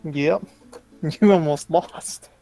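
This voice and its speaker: Male tabletop player, fairly high pitch, relaxed conversational delivery over a call, light music behind. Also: slow, vocal fry, low-quality recording. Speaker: player in a tabletop roleplaying game. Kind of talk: casual chat. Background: background music.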